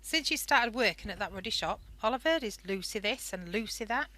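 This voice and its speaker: A woman's voice: medium pitch, expressive, fairly quick, sharp tone, dry recording. A woman in a radio play acting a stern, protective wartime mother, clipped and scolding. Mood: snappy, scolding. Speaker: amateur radio play actor.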